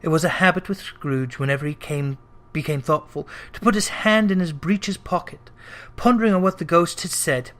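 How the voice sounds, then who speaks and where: A man's voice: fairly high pitch, moderate pace, a bit bright. A man narrating a classic literary tale in a dramatic storytelling style. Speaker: audiobook narrator.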